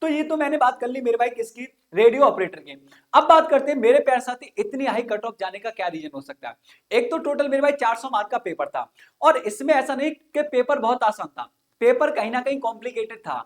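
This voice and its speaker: A man's voice: very high voice, animated, a little fast. A man teaching an informal online exam-coaching lesson, explaining things repetitively and often checking that listeners follow. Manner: repetitive, frequent check-in questions.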